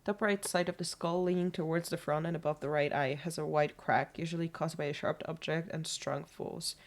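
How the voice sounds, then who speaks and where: A woman's voice: deep voice, steady tone, normal speed. A woman with a mixed Polish and British accent that sounds American, streaming a game, thinking aloud and reading in-game notes with small slips. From Poland.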